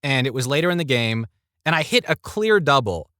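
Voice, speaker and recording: A man's voice: medium pitch, big pitch swings, a little fast, sharp tone, no echo, studio quality. A man speaking as a podcast guest, telling personal stories in a casual, conversational way.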